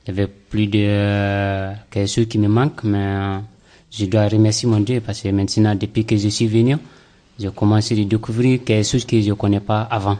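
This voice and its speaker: A man from Mali with a low, mellow voice answers unscripted in a radio interview. He speaks a language. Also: little pitch movement, moderate pace. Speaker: youth radio participant.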